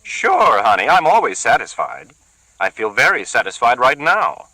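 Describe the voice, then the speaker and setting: A man voicing a loud, sarcastic woman who needles everyone with sour jabs. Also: wildly swooping pitch, moderate pace, no room sound, full character voice, rough recording. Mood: sneering. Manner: shrill, jabbing, sour sarcasm.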